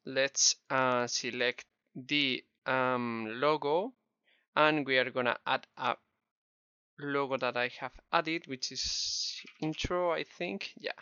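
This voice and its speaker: A man's voice: medium pitch, slow pace, sharp tone, smooth, dry recording. A man with a Spanish accent narrating a step-by-step game development tutorial in a friendly, encouraging way. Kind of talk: screen-recorded tutorial narration. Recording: dull, slightly lo-fi close mic.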